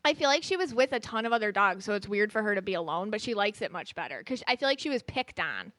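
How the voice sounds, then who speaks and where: A woman's voice: medium pitch, expressive, quick pace, a bit bright, dry recording. A woman hosting a podcast, chatting casually and bubbly, often laughing, as she reads her guests' energy. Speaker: podcast host and aura reader.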